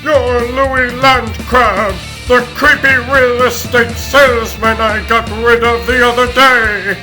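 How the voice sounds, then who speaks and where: High-pitched, plucky cartoon hero. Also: male voice, fairly even intonation, slow pace, over music. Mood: urgent, commanding. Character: bright, bossy heroic male rabbit superhero leader. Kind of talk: comic book dub cartoon acting.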